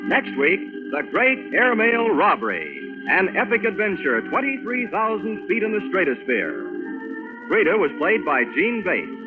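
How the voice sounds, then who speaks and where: A man's voice: high-pitched, very animated, normal speed, phone-quality sound. A man announcing a scripted 1940s radio serial in a formal broadcast style. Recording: thin old radio broadcast with organ.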